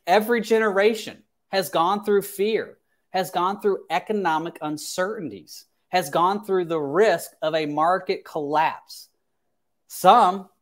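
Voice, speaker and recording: A man in his thirties hosting an advice livestream as a financial advisor, speaking emphatically and repeating phrases for stress. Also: high-pitched. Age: 30s. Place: small indoor home office.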